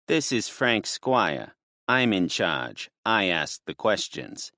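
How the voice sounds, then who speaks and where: A man voicing a menacing male mobster boss with an old-movie gangster manner, slow and mocking. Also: on the low side, sing-song, pushed and bright, dry recording, clean studio sound, full character voice. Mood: commanding.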